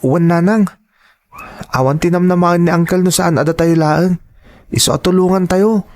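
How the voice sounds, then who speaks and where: A man's voice: sits high, steady tone, moderate pace, darkish tone, no echo, close to an everyday voice. A man playing a supportive, dutiful young son in a family audio drama, speaking earnestly.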